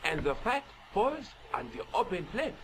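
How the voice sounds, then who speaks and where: High, animated, fussy Frenchman. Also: normal speed, gravelly, a crowd in the background, heavily acted. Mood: fussy, indignant. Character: fussy, indignant French man worried about air pollution.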